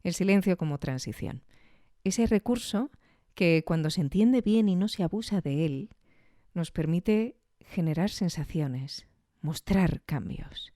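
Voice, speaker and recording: A woman hosting a podcast in a reflective, thoughtful review style, building her phrases in short halting pieces with little rhetorical tags. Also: lowish voice, expressive, mellow, dry recording, studio quality. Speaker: host of a podcast on audio fiction.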